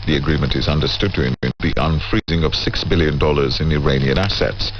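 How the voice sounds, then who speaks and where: A very deep-voiced British man presents the news from a script. The sound is dull and band-limited, with clear shortwave radio noise over it. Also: brisk.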